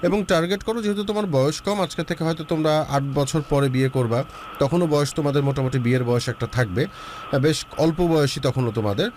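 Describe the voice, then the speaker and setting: A man's voice: fairly high pitch, fast, a little hiss or hum. A man hosting a relationship advice show, talking with a young caller and mixing in English words. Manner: lively, advising, mixes in English words.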